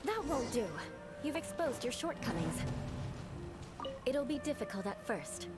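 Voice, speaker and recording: Anime game noblewoman knight: higher register, expressive melody, poised, formal, superior. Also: unhurried, loud music behind the voice. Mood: stern, instructive. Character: haughty, elegant aristocratic young woman knight, exacting trainer. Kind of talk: anime fantasy game voice acting.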